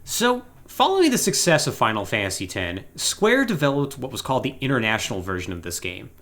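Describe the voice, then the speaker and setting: A man co-hosting a podcast in casual discussion of video games, talking in an animated way. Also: mid-range voice, a little fast. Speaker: podcast co-host.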